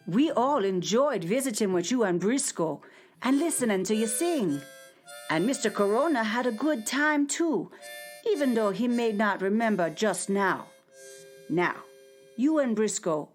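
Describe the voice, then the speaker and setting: A kind caretaker at a home for fading stars, gentle and reassuring. Her voice sits fairly high, and faint music plays behind her in a children's radio play.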